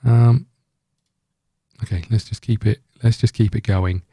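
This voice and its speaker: A man with a very dark, relaxed voice on the low side talks through a video game. He rambles casually, thinking aloud as he hosts. The sound is dry and close. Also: a little slow, breathy edge, no echo. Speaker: gaming video host. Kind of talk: casual let's-play commentary.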